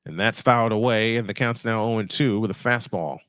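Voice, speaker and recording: A man's voice: on the low side, a little fast, a bit bright, clean tone, dry recording. A man doing live baseball play-by-play on a stream, mixing in casual chat and rambling as he thinks aloud.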